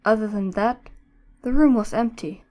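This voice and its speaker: A woman's voice: mid-range voice, moderate pace, a little mellow. A woman narrating an audio drama in scripted storytelling style.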